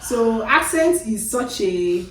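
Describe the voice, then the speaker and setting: A woman's voice: fairly high pitch, takes it slowly. A young Nigerian woman with a Nigerian accent, chatting casually as co-host and telling stories with bursts of laughter. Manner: animated storytelling, bursts of laughter, code-switching to Pidgin. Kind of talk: casual chat. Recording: echoey room, far from the mic.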